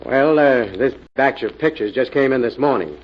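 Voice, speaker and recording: An actor playing a gruff, blunt, skeptical police inspector in the style of 1940s radio drama. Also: male voice, mid-range voice, normal speed, lightly acted. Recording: dull, muffled old radio recording.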